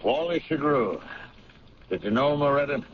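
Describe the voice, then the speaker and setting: An actor playing a skeptical police captain in a 1970s radio drama, talking with dry banter. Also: male voice, mid-range voice, animated, normal speed, natural voice. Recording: dull lo-fi 1970s radio recording.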